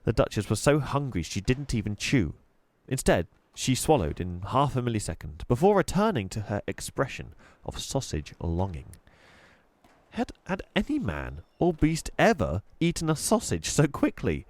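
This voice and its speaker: A man narrating a children's storybook expressively, with deliberate, dramatic emphasis. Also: medium pitch, wildly swooping pitch, darkish tone, dry recording. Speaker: storybook reader.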